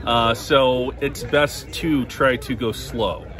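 A man in his thirties in a casual, halting way, talking into a phone at arm's length outdoors at an expo. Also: medium pitch, steady tone. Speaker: vlogger interviewer. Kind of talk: casual interview. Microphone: phone held at arm's length. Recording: busy crowd noise behind.

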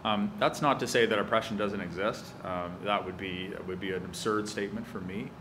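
Earnest lower-voiced man on a panel. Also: fairly even intonation, noisy background. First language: French. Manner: earnest, emphatic, measured. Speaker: panelist, public servant. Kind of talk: panel Q&A answer. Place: university lecture room, indoors. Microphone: table microphone, seated.

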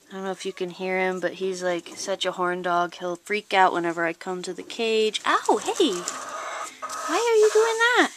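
An unhurried young woman chats through a tour of her small farm and coos at her chickens and rabbits. She talks close to a handheld camera outdoors, with a clearly audible noise bed and hens clucking behind her. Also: medium pitch. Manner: chatty, talks baby-talk to her animals. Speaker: small farm owner showing her animals. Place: outdoor coop and rabbit hutches. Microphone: handheld camera held close. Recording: noisy outdoor camera audio. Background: clucking chickens and birds.

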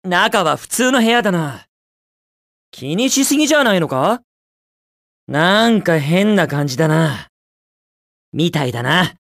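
A man's voice: high voice, big pitch swings, unhurried, pauses often, fairly bright tone, no room sound, studio quality, exaggerated voice. A man voicing a cocky, carefree young hedgehog hero in a video game, with breezy, confident, slangy delivery. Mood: wary, calm.

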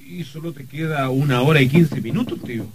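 A man's voice: a little high, fairly quick, breathy edge. An actor playing an insolent nephew who goads his uncle, in old radio drama style. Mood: mocking, insolent. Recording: noisy vintage radio recording.

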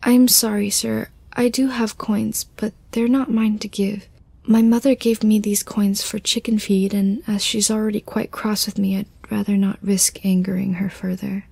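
A woman playing a polite, hesitant but stubborn young village girl in an animated film. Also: mid-range voice, moderate pace, gravelly, slightly rough, dry recording. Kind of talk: animated film character acting.

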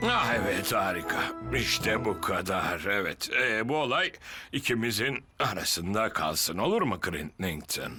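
An actor voicing a pompous, easily flustered senior fire officer with an officious, blustering manner in a children's cartoon. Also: male voice, on the low side, very animated, measured pace. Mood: embarrassed. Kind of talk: animated children's cartoon dub. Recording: loud music behind the voice.

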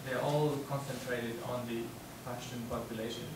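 A man's voice: mid-range voice, fairly even intonation, moderate pace. Man, audience question, earnest and pointed, heavy hall echo, noisy. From Afghanistan. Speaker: audience member asking a question. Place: lecture room audience. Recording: echoey hall, distant handheld mic, background noise.

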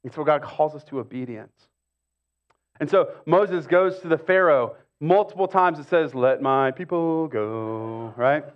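A man's voice: on the high side, measured pace, muffled recording. A middle-aged American man, a pastor, giving a conversational sermon with casual asides and repeated phrases for emphasis. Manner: casual, with repetition and rhetorical asides. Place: stage of a church auditorium.

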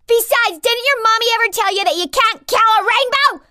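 A man's voice: very high voice, moderate pace, pushed and bright, dry recording, clean studio sound, heavily acted. An actor voicing a gleeful male cartoon rainbow who taunts and insults the player in a video game. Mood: mocking. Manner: bratty, insulting, cackling.

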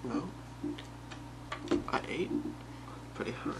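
A young man playing an obsessive, calmly menacing boyfriend in a halting, soft, half-whispered ASMR role play. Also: natural voice. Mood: calmly controlling. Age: young adult. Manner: halting, soft-spoken, unhurried. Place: small bedroom, indoors. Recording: very noisy webcam-style home recording.

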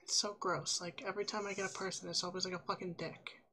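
A man's voice: sits high, flat delivery, fast, pushed and bright. A man using a voice changer to play a gushing, ditzy young woman in a casual prank video chat. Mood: disgusted venting. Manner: valley-girl filler "like", gushing. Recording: noisy webcam chat audio, clicking.

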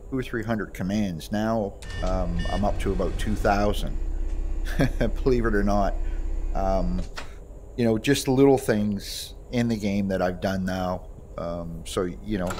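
A man giving casual, unscripted gameplay commentary, explaining things as he goes. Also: fairly low pitch. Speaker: gamer demonstrating a voice pack. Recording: noisy home recording.

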